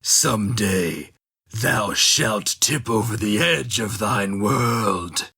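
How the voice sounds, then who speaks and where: A man voicing an ancient dragon that speaks in slow, solemn, archaic words. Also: on the low side, lively intonation, creaky, pushed and bright, slightly rough, full character voice.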